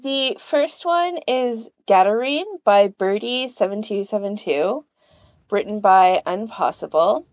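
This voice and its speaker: A woman hosting a podcast, chatting and telling stories in an informal, animated way. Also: medium pitch, slow, no room sound. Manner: informal, animated, laughs easily. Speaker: podcast host. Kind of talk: casual chat, anecdotes.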